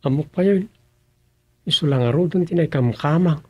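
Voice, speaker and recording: A man's voice: a little high, normal speed, breathy edge, no room sound, dull audio. A man playing a grumbling family man in a radio drama comedy, with an Ilocano accent.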